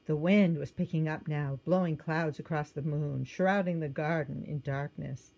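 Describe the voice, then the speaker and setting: A woman with a lowish, soft voice reads a children's storybook aloud. She tells it gently and with expression, and now and then she stops to point out what is happening in the picture. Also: steady tone, moderate pace, creaky, poor audio. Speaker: storybook reader.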